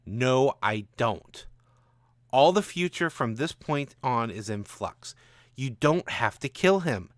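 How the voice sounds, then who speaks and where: A man's voice: medium pitch, lively intonation, slow pace, no room sound, clean studio sound. A man voicing a firm woman from the future, urgent and pleading, in a story.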